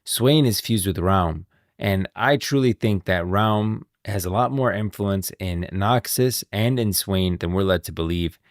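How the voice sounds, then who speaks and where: A man talks as a game lore streamer. His voice is deep and recorded close and dry, and he sounds casual and insistent, reasoning things out aloud. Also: measured pace, no echo. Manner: informal, thinking aloud, insistent. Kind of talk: casual livestream commentary.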